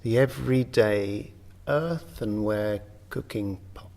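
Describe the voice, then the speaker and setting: A man with a fairly low voice guides a relaxation practice. He speaks very slowly and gently, leaving generous space between short phrases as he gives unhurried meditation instruction. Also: vocal fry. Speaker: yoga nidra meditation guide.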